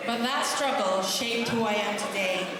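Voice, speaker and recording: A woman's voice: medium pitch, moderate pace, background noise. Woman speaking in an echoey ballroom. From Philippines. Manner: warm, earnest, with light self-deprecating laughs. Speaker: founder giving a speech at a gala. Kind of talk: prepared speech to a banquet crowd. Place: large hotel ballroom. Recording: echoey hall with crowd chatter.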